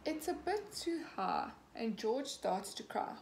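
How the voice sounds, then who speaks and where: A young woman in her twenties, a teacher, reading a picture book aloud to children slowly and clearly, acting out the characters. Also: on the high side, big pitch swings, a little mellow. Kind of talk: children's storybook read-aloud. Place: small room at home, indoors. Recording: echoey room, faint background hiss.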